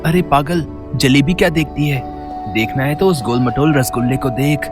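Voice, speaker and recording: Woman putting on a cheeky, lower-toned little boy. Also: moderate pace, over music. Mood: teasing, greedy. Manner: teasing, boastful. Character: cheeky greedy eight-year-old twin boy who loves sweets.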